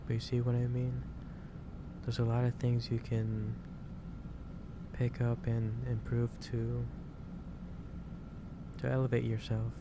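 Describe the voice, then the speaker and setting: A man playing a gentle, concerned office boss in an ASMR roleplay, speaking haltingly and repeating his phrases. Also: mid-range voice, completely flat delivery, noisy background. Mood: gentle lecturing. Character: gentle, concerned male office boss lecturing an overworked employee. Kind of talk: improvised ASMR roleplay addressed to the listener.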